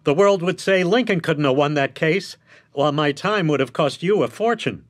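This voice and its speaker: An actor playing a shrewd, blunt defence lawyer in a radio drama, lecturing in an emphatic, articulate way. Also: male voice, fairly high pitch, expressive, normal speed, natural voice. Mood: coolly firm.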